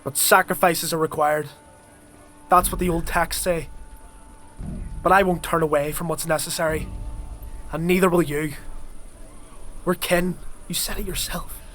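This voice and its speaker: An actor playing a fanatical alchemist twisted by dark blood rites, preaching fervently in an unhinged manner in an audio drama. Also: male voice, fairly high pitch, fairly even intonation, measured pace, long pauses, a little hiss or hum. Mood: fervent, insistent. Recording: music behind.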